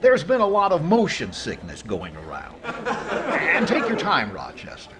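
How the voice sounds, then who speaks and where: An actor playing a vain, stingy middle-aged comedian in old radio comedy style, with deliberate pauses and indignant questions. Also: male voice, sits high, wildly swooping pitch, exaggerated voice. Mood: sheepish. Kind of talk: 1950s radio comedy acting. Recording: live crowd, noisy audio with clicks.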